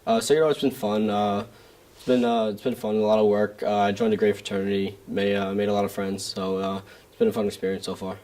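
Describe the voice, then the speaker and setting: A young man from Staten Island gives casual interview answers in brief, hesitant phrases. His voice is low and fairly monotone. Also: fairly quick. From Staten Island, New York. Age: young adult. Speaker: student interviewee.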